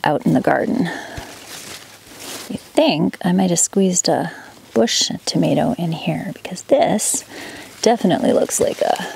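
Gravelly-voiced woman vlogging on her farm. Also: fairly low pitch, slow, long pauses, a little mellow, in a whisper. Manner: chatty, explains while working. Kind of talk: casual how-to vlog narration. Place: plastic greenhouse and outdoor farmyard.

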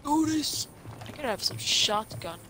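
Blunt Swedish woman, slow gaming chat. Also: on the high side, animated, background noise. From Sweden. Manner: blunt, swears freely. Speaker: teammate.

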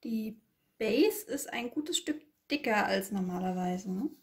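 A woman's voice: mid-range voice, animated, unhurried, a little mellow. A woman co-hosting an unboxing, chatting casually and giving product background from inside a room. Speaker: unboxing co-host. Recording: echoey room.

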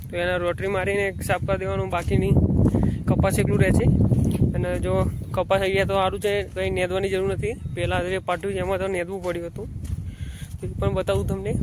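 Young man, high-pitched voice, very flat intonation, casual farm vlog, handheld phone outdoors. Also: very noisy. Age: 20s. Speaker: farm vlogger. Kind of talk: casual vlog narration while walking. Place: open farm field outdoors. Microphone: handheld phone at arm's length.

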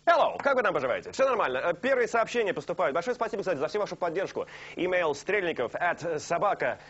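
A man's voice: high voice, quick pace, husky. A young man hosting a late-night TV show from a studio sofa, talking live with a joking, teasing manner. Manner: joking, teasing, lively and animated. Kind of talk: playful live studio banter. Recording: dull lo-fi broadcast tape.

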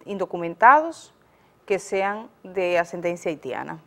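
A woman with a Dominican accent presenting news commentary conversationally, explaining things directly to viewers in a studio. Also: mid-range voice. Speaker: news program host.

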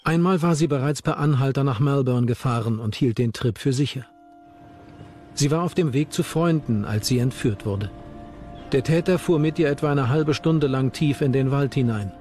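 A man's voice: mid-range voice, moderate pace, a little mellow, soft background music. A man reading scripted narration for a documentary.

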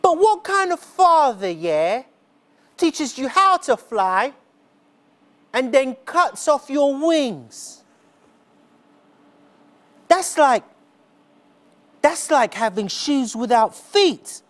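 A man's voice: sing-song, heavily acted. A man on stage playing a lonely young boy who begs to be a sidekick, speaking earnestly in a theatrical spoken-word monologue. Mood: bitter. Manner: earnest, slow and deliberate, rising pitch on key words. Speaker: stage performer. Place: dark smoky theatre stage.

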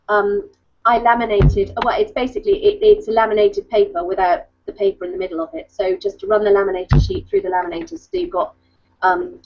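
A woman's voice: mid-range voice, a little mellow. A woman in her thirties chatting casually as she shows crafts, often interrupting herself, on a video call from home. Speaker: craft blogger. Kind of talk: casual craft show-and-tell. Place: book-lined room at home. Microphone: webcam video call. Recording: dull lo-fi video call audio.

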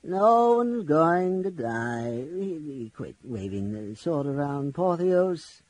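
A man's voice: fairly high pitch, sing-song, takes it slowly, darkish tone, full character voice. Man doing Fizban, a rambling old wizard. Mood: sleepy. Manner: rambling, trailing off, easily distracted. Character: doddering sleepy old male wizard. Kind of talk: audiobook character voice.

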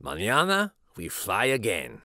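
Male children's read-aloud host, bright voice, unhurried and dramatic with wide expressive swings. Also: mid-range voice, creaky, no echo, studio quality. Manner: animated, dramatic. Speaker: children's storybook reader and show host.

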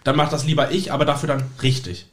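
Fast-talking man, casual podcast guest. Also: a little high, flat delivery. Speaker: guest, stage magician. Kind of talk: casual podcast chat, anecdotes.

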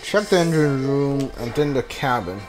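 A man giving casual video game commentary, halting and mumbling as he reads text from the screen. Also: mid-range voice, lively intonation. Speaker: gaming streamer. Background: video game music.

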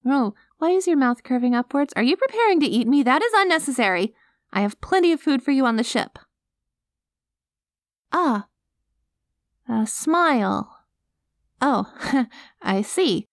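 A sweet, earnest alien girl played by a woman with a fairly high voice. She is literal and naive about humans, hesitant yet gently reassuring. It is an ASMR roleplay with a very dry, room-free sound. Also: sing-song, unhurried, pauses often, dry recording, full character voice. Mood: happy, reassuring.

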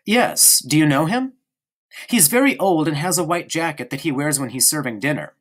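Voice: mid-range voice, lively intonation, moderate pace, a bit bright, voice pushed up. A narrator voicing a stubborn, chatty young boy in an audiobook, speaking eagerly and insistently. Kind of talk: audiobook character reading.

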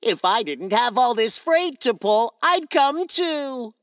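A woman voicing a friendly male freight locomotive in a cartoon, with a warm, encouraging manner. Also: on the high side, big pitch swings, takes it slowly, dry recording, studio quality, exaggerated voice. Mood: kind. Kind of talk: cartoon voice acting.

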